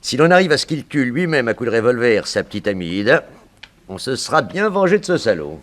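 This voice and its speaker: An actor playing a vengeful, scheming gangster in a 1960s French radio crime drama, speaking in a deliberate, insinuating way. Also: male voice, mid-range voice, big pitch swings, a bit bright. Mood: scheming, gloating.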